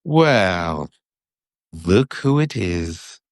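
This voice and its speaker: A man's voice: low-pitched, big pitch swings, very slow, no room sound, clean studio sound, heavily acted. A man voicing a spoiled schoolboy bully with a taunting, lazy drawl in a bedtime storybook reading. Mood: mocking. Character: Draco Malfoy, sneering, spoiled schoolboy bully.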